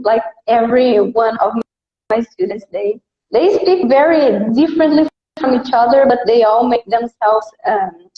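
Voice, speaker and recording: A Brazilian woman with a high voice and a Brazilian Portuguese accent talks in a relaxed, easygoing way, leaning on "like" and "uh". The sound is roomy and a little dull. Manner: relaxed, easygoing, frequent fillers like "like" and "uh". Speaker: English teacher, guest. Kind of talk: casual interview chat.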